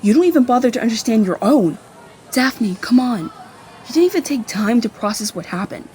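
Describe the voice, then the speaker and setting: A woman's voice: on the high side, animated, brisk, darkish tone, a little hiss or hum, lightly acted. A woman acting a hot-tempered, jealous young fairy in an amateur roleplay drama, speaking in a pointed, confrontational way. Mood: hurt, defiant.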